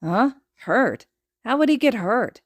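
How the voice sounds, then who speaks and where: A woman voicing a brash, mischievous, excitable young boy who exclaims in casual slang, in an audiobook. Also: fairly high pitch, takes it slowly, no room sound, studio quality, voice pushed up. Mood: puzzled, envious. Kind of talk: fan fiction audiobook acting.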